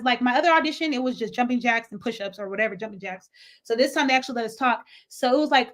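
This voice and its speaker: A young woman with a faint Nigerian accent telling a chatty, rambling storytime vlog full of asides and swearing. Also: high-pitched. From San Francisco Bay Area, US. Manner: chatty, animated, digressive, profane. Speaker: vlogger.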